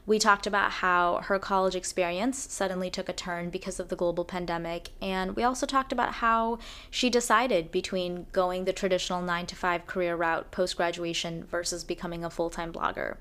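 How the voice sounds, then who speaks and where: A woman's voice: lowish voice, steady tone, brisk, hardly any pauses, fairly bright tone, clean tone. A woman speaking as a podcast host, introducing the show and interviewing a guest.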